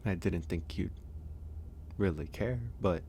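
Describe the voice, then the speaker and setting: Werewolf caretaker, male actor: very low register, kind and unhurried with hesitant pauses, intimate ASMR roleplay over ambient noise. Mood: hesitant, explanatory. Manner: gentle, hesitant, reassuring, pausing often. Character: gentle male werewolf, caring stranger nursing a guest.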